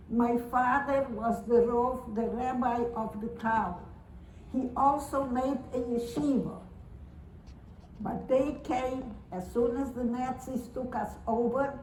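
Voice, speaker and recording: A woman in her nineties from Romania, speaking in an earnest, halting and repetitive way as she gives testimony to an audience. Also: fairly high pitch, darkish tone, a little hiss or hum. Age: 90s. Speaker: Holocaust survivor giving testimony. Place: synagogue or community hall. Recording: roomy hall recording from far off.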